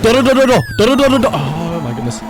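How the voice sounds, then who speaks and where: A man's voice: sits high, sing-song, fast talker, loud music behind the voice. A man doing casual live game-stream commentary, swearing freely and repeating short command words.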